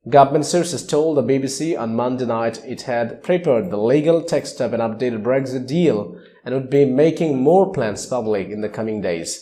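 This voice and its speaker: A male news reader with a dark, relaxed tone and a mid-range pitch. He delivers scripted news reports as narration. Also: moderate pace.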